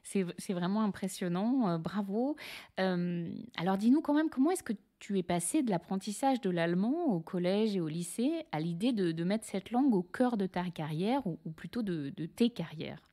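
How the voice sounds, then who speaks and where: Podcast host, woman. Mellow, mid-pitched, articulate and polite delivery. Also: expressive, normal speed. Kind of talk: podcast introduction and interview.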